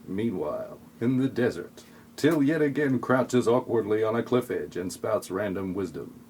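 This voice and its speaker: Low-voiced wry male narrator. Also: expressive, normal speed, a little mellow, a little hiss or hum, rough recording. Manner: wry, mocking. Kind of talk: comic scripted narration of parody audio play.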